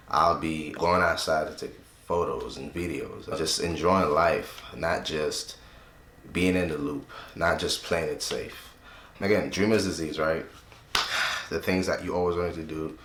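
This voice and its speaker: A man's voice: sits low, fairly bright tone, roomy sound, slight background noise. A man in his twenties talking loosely and reflectively in a casual vlog, often tagging his points with "right?". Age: 20s. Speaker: vlogger. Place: room he uses as a home studio.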